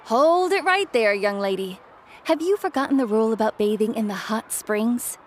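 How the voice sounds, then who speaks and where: Actress as a lively, high-voiced auntie-like neighbor. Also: female voice, normal speed, a little hiss or hum, natural voice. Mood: firm. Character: friendly, teasing, firm auntie-like neighbor woman. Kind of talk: anime-style video game voice acting.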